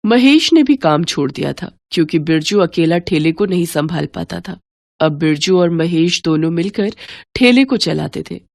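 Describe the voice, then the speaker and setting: A woman's voice: on the low side, darkish tone, no echo, studio quality. A woman narrating a scripted moral story, with slight noise in the recording.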